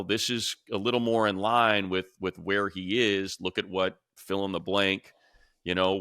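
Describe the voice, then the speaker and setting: Male American sports co-host, very low voice with a forceful edge, casual and emphatic delivery. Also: clean tone, no room sound, clean studio sound. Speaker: sports podcast co-host and commentator.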